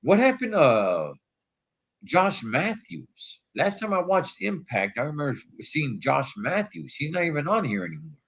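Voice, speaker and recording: A man's voice: a little high, very animated, normal speed, vocal fry. Stuffy-nosed man chatting on a livestream. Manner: laid-back slangy talk, calls viewers dog and Holmes. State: stuffy nose from allergies. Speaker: wrestling livestream host. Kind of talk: casual livestream chat. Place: hotel room.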